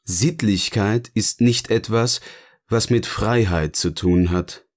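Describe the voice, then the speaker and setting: Ein Mann spielt in einem Hörspiel einen eloquenten, sardonischen Erzähler, der bedächtig und theatralisch philosophiert. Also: male voice, on the low side, slow pace. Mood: wry, musing. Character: eloquent, sardonic male narrator finally free to speak. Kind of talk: scripted audio drama dialogue, philosophical musing.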